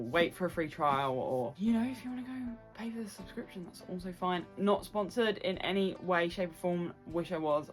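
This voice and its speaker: Chatty woman vlogger over music, lowish voice. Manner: chatty, informal, addresses viewers directly, repeats phrases for emphasis. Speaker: book reviewer and video host. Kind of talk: casual chatty book wrap-up to camera.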